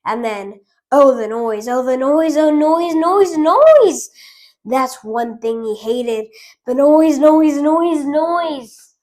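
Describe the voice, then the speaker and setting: A woman's voice: sits high, animated, slow, darkish tone. A woman hosting a storytime channel, chatting with viewers and reading a picture book aloud. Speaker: storytime host.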